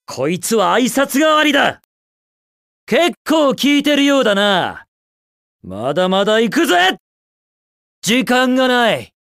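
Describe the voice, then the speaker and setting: An actor playing a cocky, upbeat young hedgehog hero in a video game, with a brash, casual, self-assured delivery. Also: male voice, very high voice, very animated, a little slow, vocal fry, long pauses, a bit bright, no echo, studio quality, full character voice. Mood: determined. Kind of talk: Japanese video game voice clips.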